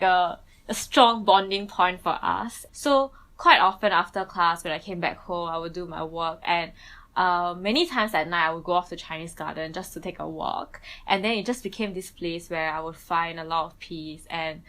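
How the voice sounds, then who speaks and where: A young woman from Bangladesh speaks in a relaxed, conversational interview. Her voice sits a little low. She fills her sentences with "like" and "um" and sometimes restarts a word. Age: young adult. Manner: chatty, frequent "like" and "um" fillers, self-restarts. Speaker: student interviewee. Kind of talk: casual personal interview.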